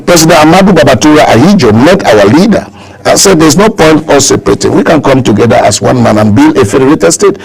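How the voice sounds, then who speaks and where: A man's voice: mid-range voice, gravelly, rough recording. A man from Cameroon with a Cameroonian English accent, speaking as a political guest in a broadcast interview, emphatic and oratorical, repeating phrases for effect.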